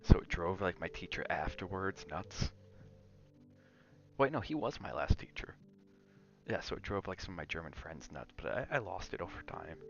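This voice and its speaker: A man's voice: deep voice, lively intonation, moderate pace, creaky, highs cut off, soft background music. A man chatting casually on an ASMR gaming livestream, rambling and drifting between chat and game reactions. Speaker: game streamer.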